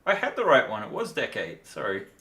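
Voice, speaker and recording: A man's voice: on the low side, huge pitch swings, fairly quick, bright, forceful tone. A tired man talking casually and in a rambling way as he hosts a livestream demo. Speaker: livestream host, miniature designer.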